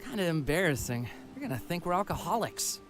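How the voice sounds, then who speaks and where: A teasing male visual novel character acted by a man, cocky and quick to fluster. His pitch moves around a lot, and music plays clearly behind him. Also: very animated. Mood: wry.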